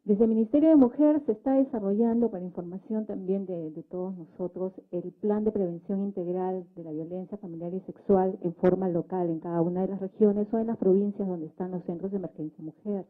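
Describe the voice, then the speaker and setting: A woman's voice: mid-range voice, fairly even intonation, a little fast, hardly any pauses. A woman with a Peruvian accent speaking at a public debate, explaining methodically and often adding tag questions. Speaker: government social communicator, panel speaker. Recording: thin phone-like sound.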